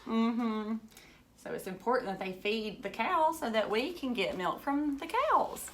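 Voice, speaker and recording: Slow-paced woman reading aloud to children. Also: mid-range voice, fairly bright tone. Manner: enthusiastic, marveling, explains simply with questions to listeners. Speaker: teacher reading a picture book aloud to children. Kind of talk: read-aloud with chatty asides to young children.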